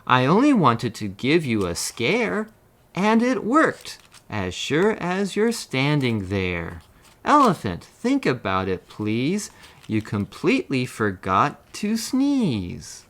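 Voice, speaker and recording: A man's voice: medium pitch, huge pitch swings, slow, a bit bright, exaggerated voice. A man voicing a tiny, bold, cheeky gray mouse in a children's storybook. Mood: teasing.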